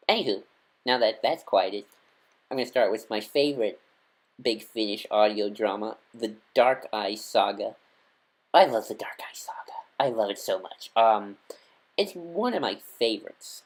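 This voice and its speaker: A man's voice: medium pitch, fairly bright tone. A man talking casually as a fan reviewer, enthusiastic and rambling, with lots of fillers and restarts. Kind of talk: casual fan talk to camera.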